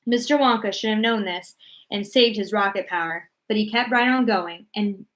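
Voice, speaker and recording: A woman reading a children's storybook aloud as narrator, expressive and deliberate in her storytelling. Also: medium pitch, poor audio.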